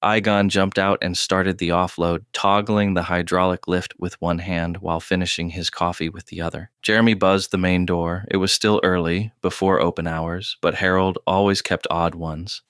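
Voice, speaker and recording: A man narrating a scripted audio drama in a measured, terse storytelling manner, recorded close and dry. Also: deep voice, level tone, fairly bright tone, smooth, no echo.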